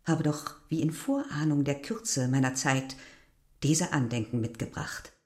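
A woman playing a proud, wistful middle-aged village innkeeper in a literary radio drama, speaking gravely and insistently. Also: low-pitched, unhurried, darkish tone.

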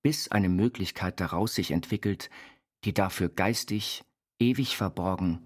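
A man playing an earnest, dreamy inventor confined to an institution, reading his writings in a deliberate, formal way. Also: low-pitched. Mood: absorbed, technical. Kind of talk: scripted literary reading over music. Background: music.

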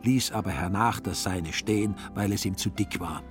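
A man with a fairly low voice narrates a literary radio play over music. His delivery is measured and carries a dry irony, as a scripted storyteller.

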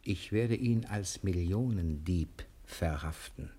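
A man's voice: deep voice, takes it slowly, mellow, husky, full character voice. A man playing a cheeky, self-assured thief on the run who soothes his wife, in a German radio crime-comedy serial. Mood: confident scheming.

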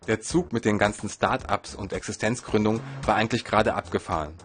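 A man narrating his own story from a script in a measured, literary storytelling manner. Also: lowish voice, fairly even intonation, music in the background. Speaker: narrator and filmmaker.

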